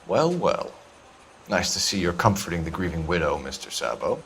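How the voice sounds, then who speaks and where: An actor playing a suspicious 1940s police detective in a crime game, questioning people formally and pointedly. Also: male voice, sits low, very animated, normal speed, fairly bright tone, a little hiss or hum. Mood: sardonic. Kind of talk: naturalistic period crime drama acting.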